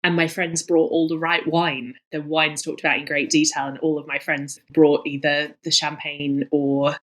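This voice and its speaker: Woman, thirties, low pitch, enthusiastic guest, conversational, emphatic, repeats words for emphasis. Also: little pitch movement, moderate pace, fairly bright tone. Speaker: guest, cookbook author.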